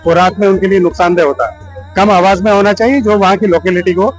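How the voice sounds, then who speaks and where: A man's voice: high voice, fast talker, faint music behind. A man giving a formal, explanatory interview statement, hesitating as he searches for words. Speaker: doctor interviewed for news. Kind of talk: measured spontaneous interview statement.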